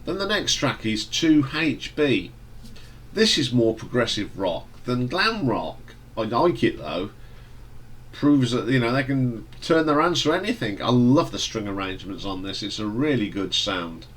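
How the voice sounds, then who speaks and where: A man with a stuffy nose from hay fever, casually reviewing an album in a relaxed, rambling way with fillers and restarts. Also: medium pitch, a little slow, slightly rough. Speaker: music reviewer.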